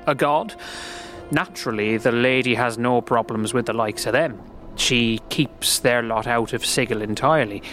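A man voicing a friendly, gentle, earnest slum dweller in a fantasy audio drama, with a folksy, hesitant way of talking. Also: medium pitch, normal speed, soft background music, lightly acted. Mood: earnest, informative.

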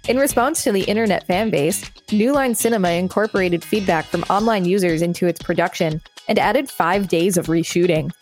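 A woman with a slightly low voice co-hosts a podcast. She reads reference articles aloud and comments casually. Soft music sits faintly behind her. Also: fairly quick, hardly any pauses. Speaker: podcast co-host.

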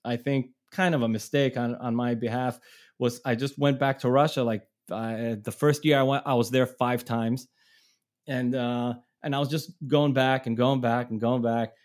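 A man's voice: mid-range voice, steady tone, normal speed. A middle-aged man from Israel, a touring musician, telling stories in a casual, rambling way with many restarts and laughs. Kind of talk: casual storytelling.

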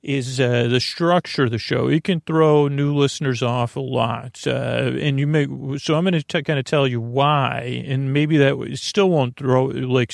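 A man with a creaky voice hosting a sleep podcast, rambling to the listener in a meandering, tangled, self-deprecating way. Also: mid-range voice, dry recording, studio quality. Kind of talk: rambling bedtime monologue to the listener.

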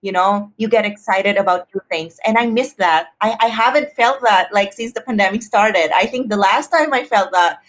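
A woman's voice: mid-range voice, normal speed, hardly any pauses. A woman talking casually and personally as a podcast guest, expressively acting out her own thoughts as quotes.

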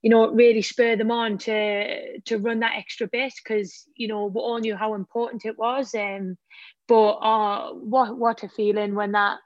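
A Northern Irish woman with a slightly croaky voice talks in a level, even tone. She halts and restarts often, searching for words. Her voice sits a little high, and the sound is close and dry. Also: no room sound, dull audio. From Northern Ireland. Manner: halting, many restarts, emotional. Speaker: footballer and pundit guest. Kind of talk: radio interview.

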